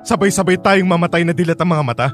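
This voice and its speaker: A man's voice: sits high, fast talker, over music, close to an everyday voice. A man playing a stern, anguished working father in a radio drama, emotional and exasperated. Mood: anguished, reproachful.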